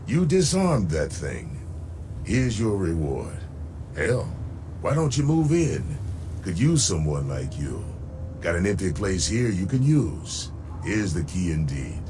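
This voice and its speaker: Frontier town lawman, video game, deep voice, plainspoken, folksy, animated delivery. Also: slow pace, music in the background, exaggerated voice. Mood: grateful, friendly. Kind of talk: video game character acting.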